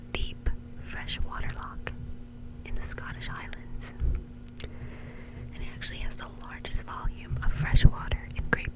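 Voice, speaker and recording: A woman telling a story in a soft, breathy ASMR whisper, in a casual, chatty way. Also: poor audio. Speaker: ASMR video host.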